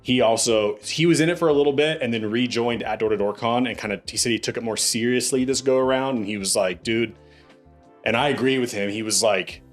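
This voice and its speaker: A man's voice: mid-range voice, fairly quick, soft background music. A young man hosting a podcast in casual interview chat, upbeat and calling his guest "dude" and "bro". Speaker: podcast host.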